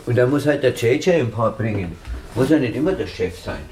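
A man's voice: medium pitch, animated, a little fast, a little mellow, slight background noise. A man, a band musician, chatting casually in a grumbling, joking way. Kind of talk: casual banter.

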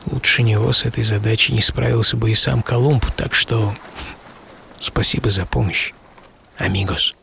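A man playing a solitary, excitable and stubborn old-time American sea captain in a first-person audio drama, with theatrical delivery. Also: fairly low pitch, normal speed, gravelly, noisy background. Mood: relieved, wondering. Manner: theatrical, swinging from murmur to shouting. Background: music bed.

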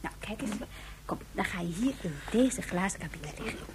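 A woman playing a kindly hospital nurse in a radio drama, gentle and coaxing. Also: medium pitch, animated, moderate pace, lightly acted. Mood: soothing. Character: kindly, soothing young-spirited female hospital nurse. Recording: clearly noisy radio play recording.

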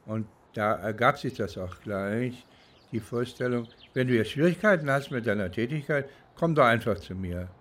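A middle-aged man reflecting as a private detective in an unscripted-sounding monologue, searching for words in a deliberate, hesitant way. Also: mid-range voice, a bit bright. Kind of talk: reflective documentary-style monologue.

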